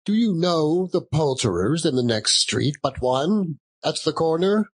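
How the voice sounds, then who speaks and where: An elderly, reformed gentleman of a Victorian storybook, acted by a man, bursting with giddy joy. The voice leaps high and swings widely, with a husky edge. He is effusive and exclamatory, forever close to a chuckle. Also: big pitch swings, measured pace, heavily acted. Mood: giddy delight.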